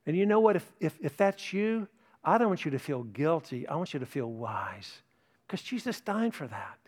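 Emphatic older male preacher, high voice. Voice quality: mentions tinnitus, no vocal trait. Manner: earnest, emphatic preaching with repetitions. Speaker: pastor. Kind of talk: sermon.

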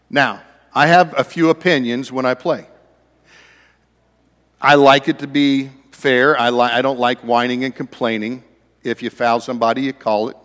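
A man preaching a sermon, conversational and self-deprecating, with a naturally loud voice. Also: mid-range voice, big-room sound. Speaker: pastor.

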